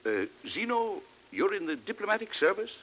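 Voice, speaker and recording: Wary, commanding space expedition leader. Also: male voice, high voice, sing-song. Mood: astonished, probing. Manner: brisk, suspicious, military. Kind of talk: vintage radio drama acting. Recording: dull, faintly noisy old radio recording.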